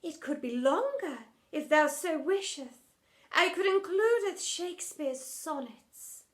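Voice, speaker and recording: A woman's voice: high-pitched, lively intonation, slow, voice pushed up. A woman voicing a gushing, theatrical drama teacher from a children's storybook, speaking in mock-Shakespearean style with wobbling emotion. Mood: hopeful, pleading.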